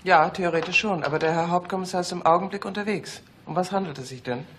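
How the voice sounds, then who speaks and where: An actress playing a middle-aged police secretary in a radio play, unflappable, polite and drily pointed. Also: female voice, lowish voice, quick pace, a little hiss or hum, lightly acted. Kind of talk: German radio play acting.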